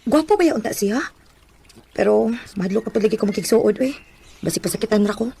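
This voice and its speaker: An actress playing a guarded, sharp-tongued young shop worker in a radio drama, with a Cebuano Filipino accent. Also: female voice, medium pitch, expressive, a little fast, creaky, a little hiss or hum. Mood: guarded, hurt.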